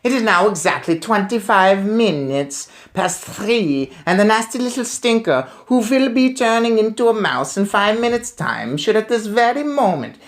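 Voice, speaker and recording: A man's voice: high-pitched, very animated, normal speed, few pauses, voice pushed up. A man voicing an imperious, gloating storybook witch who commands in oddly inverted phrasing. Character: imperious, gloating Grand High Witch with foreign syntax.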